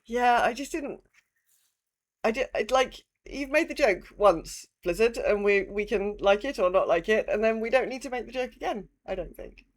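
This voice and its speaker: A woman's voice: fairly high pitch, lively intonation, moderate pace. A woman co-hosting a podcast in casual conversation, thinking aloud somewhat hesitantly as she goes. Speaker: podcast co-host. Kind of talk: casual conversational discussion of a video game.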